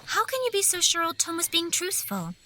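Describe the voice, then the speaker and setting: A woman's voice: extremely high pitch, big pitch swings, a little fast, pushed and bright, a little hiss or hum, full character voice. A woman playing a feisty, skeptical young sister in an audio drama, sassy and exclamatory. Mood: teasing, indignant.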